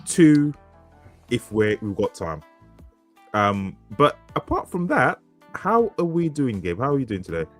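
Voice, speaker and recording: A man's voice: mid-range voice, big pitch swings, measured pace, faint music behind. A man co-hosting a podcast, rambling and laughing often, with frequent swearing. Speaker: podcast co-host, author. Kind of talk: casual banter. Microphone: studio mic.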